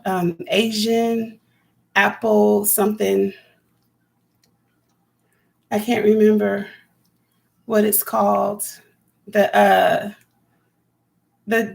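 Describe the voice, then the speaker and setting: A woman with a raspy voice chatting casually on a live stream as a homesteading vlogger, rambling and talking to viewers by name. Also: mid-range voice, steady tone, slow, pauses often. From California.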